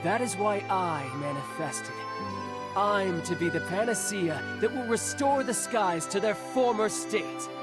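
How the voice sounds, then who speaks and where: A woman's voice: medium pitch, measured pace, close to an everyday voice. A woman voicing a grandiose divine emissary in a video game, declaiming in lofty, archaic, theatrical phrases. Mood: solemn, grandiose. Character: grandiose divine emissary Primal, theatrical, exuberant, young-sounding. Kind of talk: scripted video game dialogue. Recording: orchestral music behind the voice.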